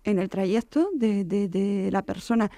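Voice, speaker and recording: Spanish lady prof explaining stuff, lots of pauses, low warm tone. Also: steady tone, no room sound, studio quality. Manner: halting, searching for words, explanatory. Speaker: anthropology professor, interview guest. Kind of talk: radio interview answer.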